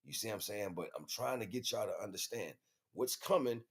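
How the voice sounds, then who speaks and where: Low-voiced American man, emphatic street-style talk. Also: lively intonation, fairly quick, slightly rough. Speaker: livestream host. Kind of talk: informal spiritual monologue to viewers.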